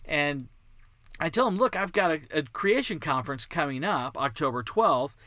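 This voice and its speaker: A man's voice: on the high side, expressive, normal speed, bright, forceful tone, no room sound. A man from Texas with a light Texan accent, hosting a podcast in a chatty, enthusiastic, digressive monologue. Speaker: podcast host. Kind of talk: casual monologue.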